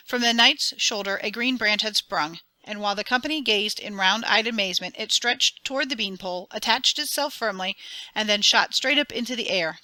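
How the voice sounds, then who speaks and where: Expressive storybook narrator, a woman with a sharp, bright voice. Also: mid-range voice, no room sound. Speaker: audiobook narrator. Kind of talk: dramatic storybook reading.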